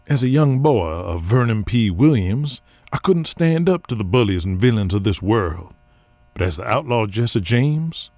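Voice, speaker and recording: Low-voiced, brooding outlaw wrestler. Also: male voice, darkish tone, no room sound, heavily acted. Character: earnest, hard-bitten outlaw pro wrestler. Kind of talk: audio drama acting.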